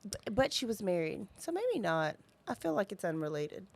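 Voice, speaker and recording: A woman's voice: medium pitch, sing-song, moderate pace, gravelly, a little mellow. A woman co-hosting a podcast, chatting informally and reacting to a story with joking interjections. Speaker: true crime podcast co-host. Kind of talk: casual chat, reacting to the storyteller.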